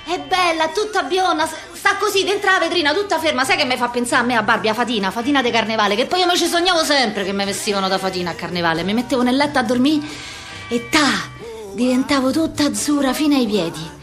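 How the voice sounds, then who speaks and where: A woman playing a brash, wounded, childlike working-class Roman street girl in a theatrical monologue, with a slangy, repetitive, excitable delivery and a Roman accent. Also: high voice, fast talker, soft background music. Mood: wistful, eager. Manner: slangy, repetitive, excitable, acting out many people.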